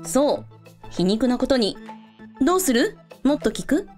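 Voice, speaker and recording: A woman's voice: sits high, big pitch swings, measured pace, darkish tone, faint music behind. A woman playing a confident, caring hotel owner in a radio drama, casually authoritative with everyone. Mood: reassuring. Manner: casually authoritative, blunt with staff. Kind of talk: scripted radio drama acting.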